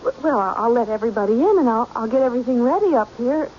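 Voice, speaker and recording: A woman's voice: fairly high pitch, lively intonation, quick pace, a little mellow, lightly acted. An actress playing a timid, obedient young woman in an old-time radio mystery, with a meek, hesitant way of talking. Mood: nervous, hesitant. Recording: muffled old radio with faint hiss.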